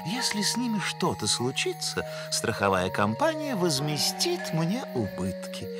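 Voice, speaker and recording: A man's voice: fairly high pitch, wildly swooping pitch, measured pace. A man voicing a pompous, learned cartoon moose with theatrical, florid scholarly phrasing. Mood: serene, smug. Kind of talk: animated cartoon voice acting. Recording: cartoon soundtrack with loud music behind.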